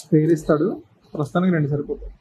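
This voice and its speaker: Higher-voiced man giving a mellow tutorial. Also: steady tone, very fast, a little hiss or hum. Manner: step-by-step explaining with short instructional phrases. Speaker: presenter of a how-to video. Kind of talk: casual hands-on tutorial.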